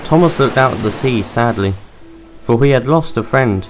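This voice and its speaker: A man's voice: medium pitch, lively intonation, moderate pace, faint music behind, poor audio. A man narrating a scripted adventure story for an amateur audio drama.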